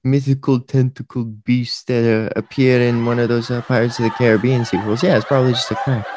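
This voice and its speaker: A man doing a dim-witted, mumbling sidekick voice pitched well below his own, slow on the uptake and confused, with clear noise behind it. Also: little pitch movement, lightly acted.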